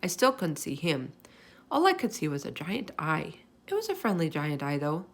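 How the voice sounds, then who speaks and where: A woman's voice: deep voice, wildly swooping pitch, normal speed, full character voice. A woman voicing a small, kindly male hamster who narrates a children's storybook with earnest wonder. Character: small chatty classroom hamster, male, kind and observant storyteller.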